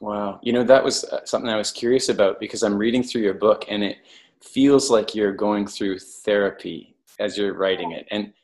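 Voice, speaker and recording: Curious podcast host at a studio mic. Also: male voice, on the low side, moderate pace, darkish tone. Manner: curious, encouraging with short reactions. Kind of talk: casual interview chat. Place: home studio room. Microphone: studio mic with headphones.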